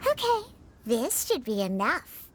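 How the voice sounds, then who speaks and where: A woman's voice: a little high, wildly swooping pitch, measured pace, noisy background. A woman voicing a feisty, bratty little cat-girl bartender with a childlike, emphatic delivery in a video game. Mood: upbeat, determined. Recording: game music behind the voice.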